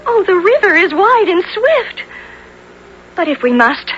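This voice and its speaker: High, timid runaway lad. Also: female voice, big pitch swings, unhurried, exaggerated voice. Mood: fearful. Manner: timid, hesitant archaic pleading. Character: frightened, pleading slight young runaway lad. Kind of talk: 1970s radio drama acting. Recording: dull, slightly noisy old radio broadcast.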